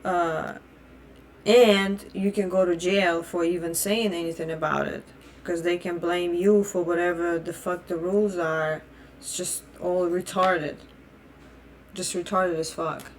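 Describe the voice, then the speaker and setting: Young Russian-born woman, native Russian speaker, fiery profane vlog rant, low-set pitch. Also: little pitch movement, a little slow, a little hiss or hum. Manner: blunt, heated and profane; repeats and restarts phrases. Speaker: vlogger. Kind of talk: opinion rant.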